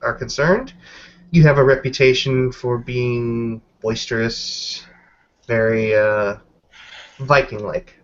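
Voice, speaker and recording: A man's voice: mid-range voice, steady tone, slow pace, close to an everyday voice. A man playing a frank, wry, skeptical female werewolf packmate in a tabletop role-playing game. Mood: wary, frank. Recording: dull lo-fi audio.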